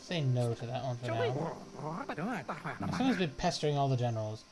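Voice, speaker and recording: Casual game streamer, medium pitch. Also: normal speed, music in the background. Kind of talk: casual live game commentary and reading aloud.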